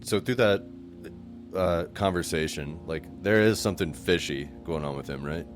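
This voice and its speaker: A man's voice: sits low, normal speed. A man taking part as a player in a tabletop role-playing game, talking casually and in character. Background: music.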